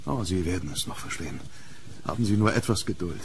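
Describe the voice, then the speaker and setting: An actor playing a haunted gentleman archaeologist in a German horror radio drama, speaking formally about a dreadful curse. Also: low-pitched, lively intonation, brisk, a little hiss or hum. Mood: grave, mysterious.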